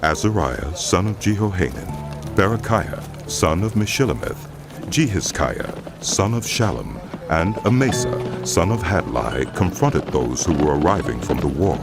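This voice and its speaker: A man reading scripture as the solemn, measured narrator of a dramatized audio Bible. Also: deep voice, sing-song, over music.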